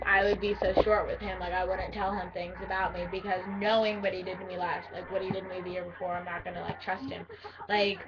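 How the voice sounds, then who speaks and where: A teenage girl with a deep voice for a girl, talking bluntly in a rambling casual vlog with lots of fillers. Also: distant mic, dull audio, noisy background. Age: teens. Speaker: vlogger.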